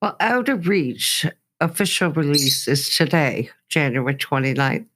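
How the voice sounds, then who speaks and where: A woman's voice: sits low, expressive, measured pace, a bit bright. Female radio-style interviewer, hosting a music show and putting questions to guests. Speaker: host.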